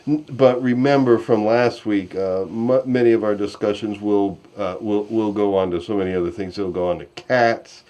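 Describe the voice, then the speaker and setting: A man with a fairly deep voice hosts a relaxed online chat. He speaks off the cuff and rambles in an easygoing way as he steers the discussion. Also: normal speed, hardly any pauses. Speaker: host of an online fan discussion show. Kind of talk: casual unscripted hosting and chat.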